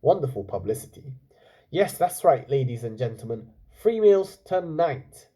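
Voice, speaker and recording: A man's voice: medium pitch, sing-song, measured pace, warm tone. A man voicing an effusive, jovial uncle who runs a restaurant in a children's story, with a gushing showman's manner. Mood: effusive, welcoming.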